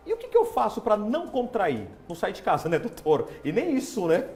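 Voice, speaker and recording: A hoarse man with a Brazilian Portuguese accent, hosting a TV health interview in a chatty, playful way in a studio. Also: high-pitched, huge pitch swings, brisk, a little mellow. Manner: chatty, asks questions with playful disbelief. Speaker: TV show host.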